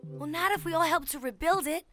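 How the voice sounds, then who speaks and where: A calm, easygoing cartoon tween girl with a gentle way of talking. Her voice sits high, with music in the background. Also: fairly quick. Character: calm, mellow tween girl, yoga-minded.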